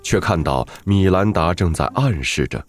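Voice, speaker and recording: Casting: male story narrator, low register, animated intonation, scripted fantasy narration over light music. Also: moderate pace.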